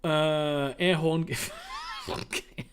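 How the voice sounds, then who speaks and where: A man's voice: fairly high pitch, fairly even intonation, very slow, a little hiss or hum. German man rambling through a stream Q&A. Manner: rambling, profane, reads questions aloud then answers. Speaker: streamer answering viewer questions. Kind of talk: casual livestream Q&A.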